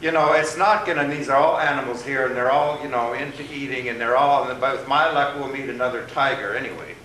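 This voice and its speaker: A man voicing an anxious, hapless traveler from a folktale, fretful and halting. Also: medium pitch, bright, forceful tone, lightly acted. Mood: worried, resigned. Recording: roomy, distant mic with faint noise.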